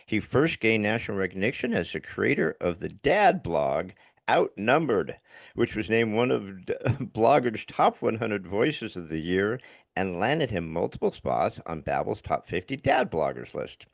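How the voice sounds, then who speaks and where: A low, gravelly older man with a slight lisp hosts a casual podcast interview. His voice swings widely in pitch as he talks with easy enthusiasm. The sound is dry and close. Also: big pitch swings, a little slow, no echo. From Long Island. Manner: enthusiastic, casual, calls guest buddy and dude. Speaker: podcast host. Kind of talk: casual podcast interview, reading a guest bio.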